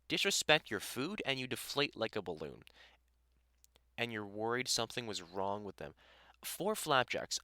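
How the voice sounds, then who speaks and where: A man's voice: mid-range voice, bright, forceful tone, no echo, studio quality. A man playing a middle-aged British vampire hunter and carpenter in an intimate audio roleplay, blunt, sweary and teasingly affectionate. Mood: teasing, fond. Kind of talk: audio roleplay acting, intimate one-sided conversation.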